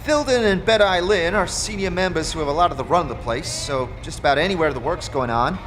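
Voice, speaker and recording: A man voicing a brisk, weary woman who supervises a foundry floor in a fantasy audio drama. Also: on the high side, a bit bright, a little hiss or hum, voice pushed up, close to an everyday voice. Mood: weary, businesslike.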